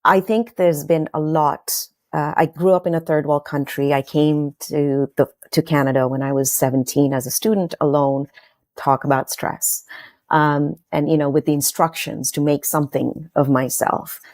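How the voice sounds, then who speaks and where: A woman's voice: sits low, steady tone, moderate pace, darkish tone, dry recording. A woman in her forties from Kenya, a podcast guest telling her personal story in a reflective, earnest way with frequent fillers and restarts.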